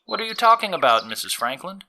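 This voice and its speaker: A polite, anxious gentleman companion in an audio drama. His voice is bright and tense with very lively swings of pitch, hesitant in manner, over faint background noise. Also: quick pace, full character voice. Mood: polite, awkward.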